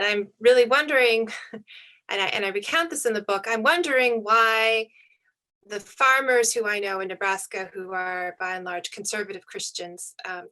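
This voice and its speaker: A woman's voice: mid-range voice, sharp tone. A woman author talking over a video call, thoughtful and searching, with frequent fillers and restarts. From United States. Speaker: author and panelist. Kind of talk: reflective conversation.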